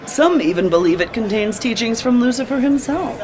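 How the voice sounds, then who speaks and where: A woman's voice: on the high side, animated, a little fast, a crowd in the background, natural voice. An actress playing a flirtatious, dramatic woman who runs an occult bookstore in an audio drama. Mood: mysterious, storytelling.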